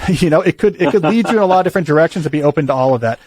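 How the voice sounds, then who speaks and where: A man's voice: a little high, animated, racing, a little mellow. A man hosting a call-in advice show, talking through ideas thoughtfully with frequent restarts. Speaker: podcast host giving career advice.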